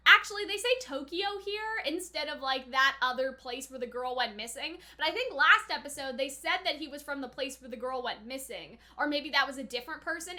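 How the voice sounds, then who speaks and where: A young woman with a very high, bright voice. She talks as a casual reaction host, thinking out loud in loose, slangy phrases with a giggly streak. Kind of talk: casual chatty reaction commentary.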